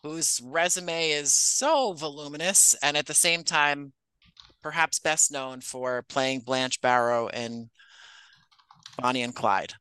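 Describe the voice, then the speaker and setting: Bright, clear-voiced man answering interview questions. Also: on the high side, slow, no echo. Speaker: podcast writer-producer and actor, interview guest.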